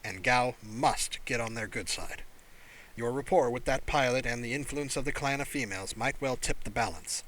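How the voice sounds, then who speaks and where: A man voicing a shrewd alien clan father, a composed diplomat who speaks in a calm, affable, measured way. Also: mid-range voice, natural voice, poor audio. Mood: urgent, calculating.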